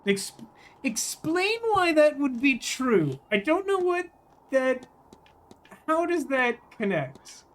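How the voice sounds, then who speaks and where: High-voiced man streaming a game. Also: very animated, slow, fairly bright tone. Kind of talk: live game commentary mixed with reading dialogue aloud.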